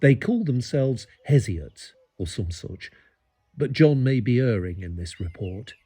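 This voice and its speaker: A man's voice: medium pitch, very animated, measured pace, warm tone, slightly rough. An actor playing a fretful eighteenth-century English village parson, reading out his letters in formal, old-fashioned phrasing for an audio drama. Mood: fretful, earnest.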